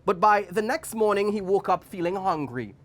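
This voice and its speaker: A woman's voice: mid-range voice, animated, moderate pace. A woman with a Trinidadian accent reading a storybook aloud to children, theatrical and playful, with chatty asides. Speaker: guest story reader for children.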